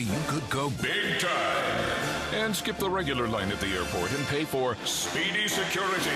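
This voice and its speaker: Man, ad announcer, animated pitch, wry and playful. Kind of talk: comic commercial read.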